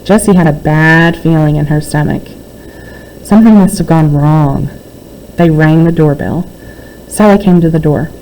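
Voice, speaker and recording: A woman's voice: sits low, normal speed, dark tone, low-quality recording. A woman reading a children's book aloud as a narrator. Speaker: read-aloud reader.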